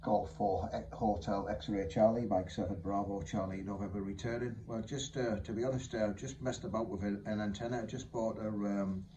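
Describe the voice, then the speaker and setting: Low-voiced, flat-toned man in his fifties, noisy recording. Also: moderate pace, few pauses. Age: 50s. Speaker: amateur radio operator. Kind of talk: casual radio contact chat.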